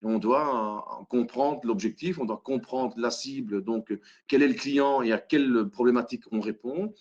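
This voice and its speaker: A man's voice: mid-range voice, normal speed, a little mellow. Belgian man, facilitator type, stop-start delivery, muffled video-call sound. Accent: Belgian French. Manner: hesitant, many fillers and restarts. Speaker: hackathon facilitator. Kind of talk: webinar presentation.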